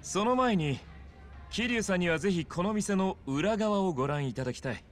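An actor playing a smug, suave young male gangster boss in a video game cutscene, with smooth, polite, theatrical speech. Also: sits high, expressive, moderate pace, faint music behind. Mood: polite, calculating. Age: young adult.

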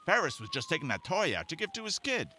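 A man's voice: high-pitched, huge pitch swings, fast, sharp tone, slightly rough, exaggerated voice. A man playing a slippery, overfamiliar crooked cop in an audio drama, chummy and cajoling.

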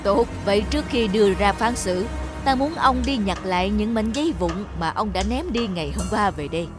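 A woman voicing a stern, wise male magistrate in a cartoon folk tale, speaking in a measured, lecturing way. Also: medium pitch, lively intonation, music in the background, lightly acted. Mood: grave lecturing.

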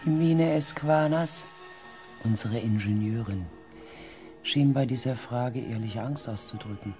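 A man acts a reflective space expedition narrator in a radio play. His delivery is hushed and deliberate at a medium pitch, with music underneath. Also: natural voice. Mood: explanatory. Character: reflective crew member recounting a space expedition. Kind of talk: scripted first-person radio drama narration.

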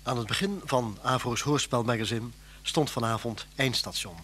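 A man announces in a scripted radio style. His voice sits at a middle pitch on an old recording with slight noise. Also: moderate pace, fairly bright tone. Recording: faintly noisy old radio recording with hum.

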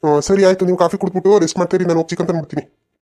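A woman's voice: lowish voice, steady tone, racing, mellow, close to an everyday voice. A woman voicing a protective, dutiful young village man in a cartoon family drama, earnest and insistent, with a rural southern Karnataka accent. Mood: dutiful, warm.

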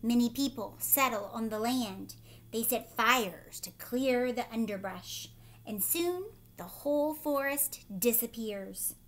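A woman voicing a male toucan who warns a man in a loud, squawking voice. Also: a little high, takes it slowly, poor audio. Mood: stern warning. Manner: squawking, forceful.

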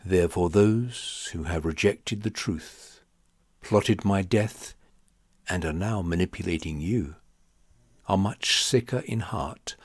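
Man as a calm, deep-voiced holy man. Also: slightly rough, no room sound, studio quality, full character voice. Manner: measured, solemn.

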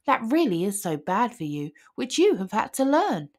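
A woman voicing a concerned, practical mother in a fretful, expressive storybook reading. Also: medium pitch, moderate pace. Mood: worried.

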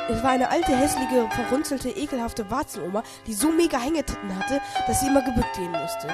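A theatrical narrator tells a parody audio play. The voice is mid-pitched, delivering dramatic storytelling over loud background music.

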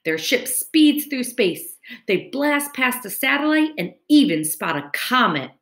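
A woman's voice: fairly high pitch, a little slow. A woman in her thirties reading a children's storybook aloud like a teacher, expressively, at a computer mic in a room at home. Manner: expressive, addressing young children. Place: indoor room at home, screen-recorded webcam video. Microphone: computer mic at webcam distance. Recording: roomy, echoing home recording.

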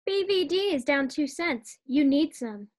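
A woman's voice: sits high, animated, a little slow. A woman playing a perky, exasperated housewife with emphatic comebacks in an old-time radio sitcom.

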